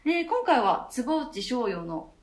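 A woman's voice: a little high, sing-song, takes it slowly. A woman, a theatre troupe member, chatting casually and explaining things with enthusiasm as a radio co-host. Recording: echoey room.

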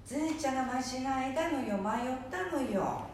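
A woman's voice: sits high, lively intonation, moderate pace. A woman playing a blunt, teasing old grandmother with a Nanbu dialect in an amateur radio drama. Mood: blunt, nagging. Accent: Nanbu dialect, northeastern Japan. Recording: very echoey, distant, faint noise.

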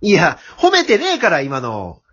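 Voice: medium pitch, huge pitch swings, a little slow, fairly bright tone, exaggerated voice. Radio drama wolf villain, played by an amateur: male, blustering, exasperated, easily bullied; slightly muffled lo-fi sound. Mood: beaten, whining. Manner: blustering, whiny, exasperated retorts. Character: hapless male wolf, blustering villain turned put-upon porter.

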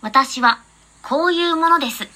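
A woman's voice: sits high, expressive, moderate pace, bright, forceful tone. A woman playing a cute young policewoman, playfully stern and teasing, in a comedy audio skit. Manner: coy, teasing, theatrical. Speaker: voice creator.

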